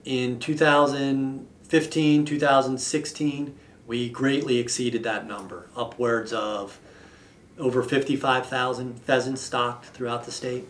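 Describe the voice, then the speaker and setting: A man in his thirties speaks as an official in an interview, at a middling pitch. His delivery is formal and measured, with deliberate pauses. The room is echoey and the microphone sits a little way off. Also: a bit bright. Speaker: state wildlife agency official. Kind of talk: explanatory on-camera interview. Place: indoor office with wall map. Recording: roomy echo, slightly distant mic.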